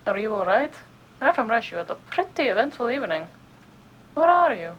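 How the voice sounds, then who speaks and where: A woman performs a friendly comrade checking in during an audio drama. Her voice is a bit high, casual and hesitant with concern. Faint noise and ambience sit behind it. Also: big pitch swings, brisk, muffled recording. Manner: hesitant, caring.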